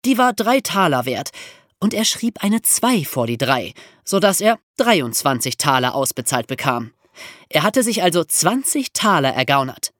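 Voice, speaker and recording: A man's voice: a little high, big pitch swings, measured pace, fairly bright tone, no echo, studio quality. A man co-hosting a podcast, telling and explaining a historical story from a script. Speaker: podcast co-host.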